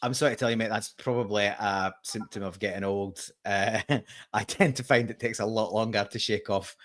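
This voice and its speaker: A man's voice: on the low side, fairly even intonation, a little fast, a bit bright. Chatty man in his forties hosting. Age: 40s. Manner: chatty, jokey, lots of fillers. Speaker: podcast host. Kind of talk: casual chat.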